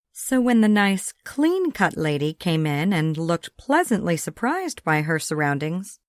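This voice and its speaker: Animated woman narrating an audiobook. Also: on the low side, no room sound, studio quality. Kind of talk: scripted comic novel narration.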